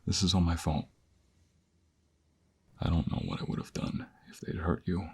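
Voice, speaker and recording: Man acting a husky, desperate, protective mobster. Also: steady tone, moderate pace, darkish tone, full character voice. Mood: guilty, shaken. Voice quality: husky, breathy, gravelly low voice. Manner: intense, emotional, often dropping to whispers. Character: desperate, protective young mobster pleading for his beloved. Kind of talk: ASMR romance audio roleplay acting.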